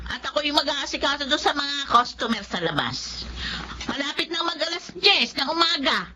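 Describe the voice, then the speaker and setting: Brazen high-pitched Filipino eatery woman. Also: lively intonation, moderate pace, sharp tone, slight background noise, heavily acted. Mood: bossy, casual. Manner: defensive, exclamatory. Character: brazen, evasive woman running a cheap neighborhood eatery. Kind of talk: Filipino radio drama acting.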